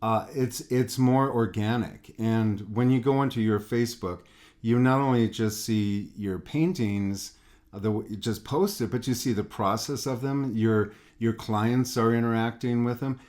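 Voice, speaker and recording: A man's voice: medium pitch, a little slow, darkish tone. A man hosting a podcast interview as an art coach, talkative and often repeating words as he thinks.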